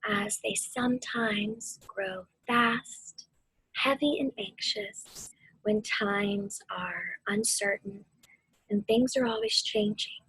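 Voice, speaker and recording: Slow, breathy woman guiding a meditation. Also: mid-range voice, no echo, poor audio. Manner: soothing, gentle, deliberate, often dropping to a near-whisper. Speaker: mindfulness instructor and host of a weekly video series. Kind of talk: guided meditation for children.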